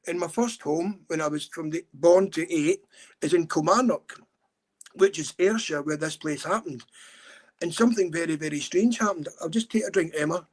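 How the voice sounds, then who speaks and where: A man's voice: a little high, moderate pace, rough recording. A middle-aged Scottish man telling a story in an earnest, urgent way. He talks a lot, in a casual podcast chat. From Scotland. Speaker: campaigner and guest.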